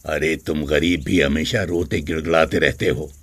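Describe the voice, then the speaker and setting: A man's voice: a bass voice, fairly even intonation, normal speed, husky, no echo. An actor playing a haughty, scornful rich father who scolds with contempt in a story.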